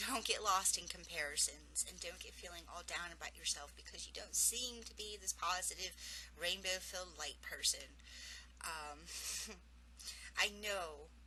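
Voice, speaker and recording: A woman's voice: on the low side, sharp tone, a little hiss or hum, poor audio. A woman coach with a cold, speaking earnestly and encouragingly about intuition and spirituality in an advice vlog. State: has a cold, losing her voice. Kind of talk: advice vlog answering viewer questions.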